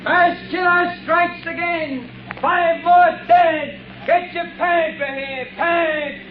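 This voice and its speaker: A street newsboy shouting headlines in long, drawn-out calls. The voice is pushed very high. The vintage recording is muffled and hissy. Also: male voice, steady tone. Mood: hawking.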